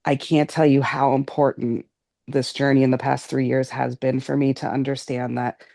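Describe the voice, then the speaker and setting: A woman's voice: extremely low pitch, level tone, moderate pace, creaky, dark tone, no room sound. An American woman speaking earnestly and conversationally as a board member in a meeting. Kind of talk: informal meeting remarks.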